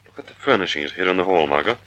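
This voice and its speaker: An actor playing a suave, resolute gentleman sleuth, calm amid danger, in a 1940s-style radio mystery. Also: deep voice, racing, lo-fi sound. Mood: observant. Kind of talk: 1940s radio drama acting.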